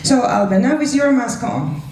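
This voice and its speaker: A woman hosts a live event in an informal, playful style, encouraging those present. Her pitch is on the high side. She sounds away from the mic in a room with noticeable echo. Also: sing-song, normal speed, a little mellow. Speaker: moderator of the event. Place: indoor event hall before an audience. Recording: roomy, distant mic, faint noise.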